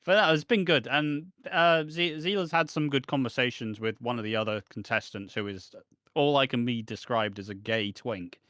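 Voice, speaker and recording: A man's voice: medium pitch, normal speed, fairly bright tone, dry recording. A British man talks through a casual podcast chat in a middling voice, rambling from one opinion to the next and restarting his sentences. The recording is close and completely dry. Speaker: podcast co-host.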